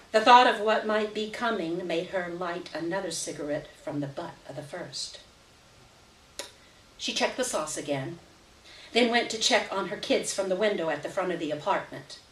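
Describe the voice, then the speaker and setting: A woman reads literary fiction aloud in a fairly low voice. She delivers scripted narration as a live stage reading. The sound is echoey and somewhat far from the microphone. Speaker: reader of a short story at a live event. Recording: roomy echo, distant mic at live event.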